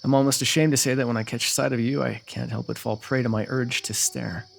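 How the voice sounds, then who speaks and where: Male actor as royal ruler, courtly, flirtatious, teasing, smitten, gravelly creak, mid-range pitch, ASMR fantasy roleplay. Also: steady tone, brisk, faint music behind.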